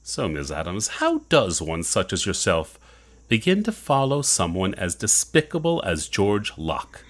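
Man playing a suave villain, slow and swooping. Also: deep voice, big pitch swings, a bit bright, heavily acted. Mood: smug, mocking. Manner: mock-courteous, theatrical. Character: suave, condescending male villain, theatrical and scheming. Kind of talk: audio drama acting.

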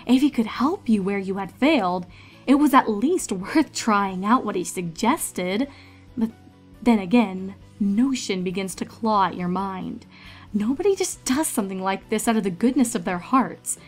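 A woman's voice: medium pitch, animated, unhurried, faint music behind. A woman narrator reading a story aloud in a scripted, dramatic storytelling style.

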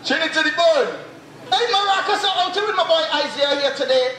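A man's voice: very high-pitched, expressive, a little fast, sharp tone. A man with a Trinidadian accent hosting a street quiz on a beach, upbeat and hyping up the people he interviews. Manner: upbeat, hyping, laughing often. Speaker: street quiz show host. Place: outdoors on a beach. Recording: played back through a reaction video, echoey with outdoor noise.

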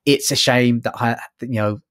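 A man's voice: medium pitch, steady tone, normal speed, a bit bright, no room sound, studio quality. A man co-hosting a podcast, discussing books casually and feeling his way tentatively through his points. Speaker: podcast co-host.

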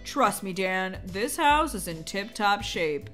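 A woman's voice: mid-range voice, animated, slow pace, fairly bright tone, soft background music. A woman playing an upbeat, pushy real-estate agent with a chirpy sales manner in a game roleplay story. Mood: salesy.

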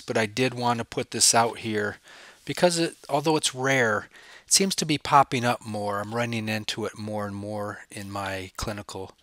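Man, professor, slide lecture, has a cold, asks and answers his own questions, forceful tone, dry sound. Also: mid-range voice, no room sound. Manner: asks the listener questions and answers them himself. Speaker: professor lecturing on spine cases.

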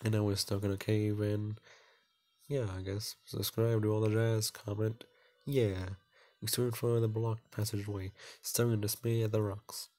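A man's voice: lowish voice, flat delivery, moderate pace, mellow. Rambling man doing game commentary. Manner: rambling, stumbles over text while reading. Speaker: gaming video host. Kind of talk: casual playthrough commentary and read-aloud.

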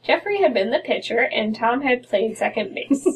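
A young American woman with a husky, slightly high voice co-hosts a story-reading show. She reads aloud and chats casually, sitting a little away from the mic. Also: lively intonation, moderate pace. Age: young adult. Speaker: co-host reading stories aloud. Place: small dim room indoors. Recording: somewhat distant from mic.

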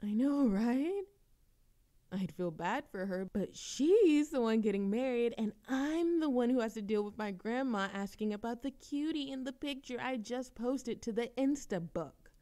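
A woman's voice: a little high, unhurried. A woman playing a playful, dramatic young woman chatting teasingly with a best friend in an audio roleplay. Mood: chatty, wry. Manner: animated complaining, teasing, theatrical exasperation. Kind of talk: intimate friend roleplay audio acting, conversational.

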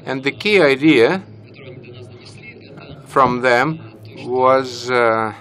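A young man speaking on a conference panel into a handheld mic, halting with many fillers and restarts. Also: mid-range voice, a bit bright. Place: conference stage, large hall. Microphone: handheld microphone.

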